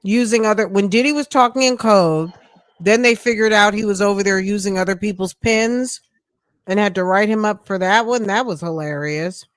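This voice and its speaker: A woman's voice: medium pitch, moderate pace, no echo, studio quality. Woman host asking probing questions. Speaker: podcast host. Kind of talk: casual podcast chat.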